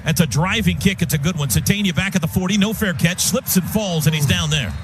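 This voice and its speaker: A man calling live football on the radio as play-by-play announcer, in clipped short phrases with excitable shouts on big plays. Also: high-pitched, a little fast, noisy background. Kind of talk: live sports play-by-play commentary. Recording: loud music bed under radio broadcast.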